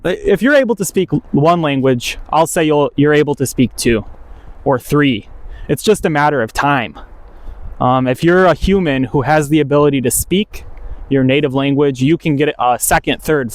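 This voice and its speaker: A man who is a native English speaker, talking casually and off the cuff to viewers as a language-learning video creator, correcting himself as he goes. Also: a little high.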